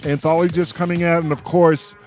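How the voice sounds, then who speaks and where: A man's voice: fairly high pitch, level tone, fairly quick, a little mellow, soft background music. A man hosting a casual radio roundtable, talking in a jovial, joking way. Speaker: radio show host.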